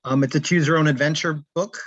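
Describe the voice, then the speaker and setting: A man's voice: a little high, fairly even intonation, fast, fairly bright tone, no echo. A man casually explaining his book as its author, talking from home on an online call. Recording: dull, lo-fi call audio.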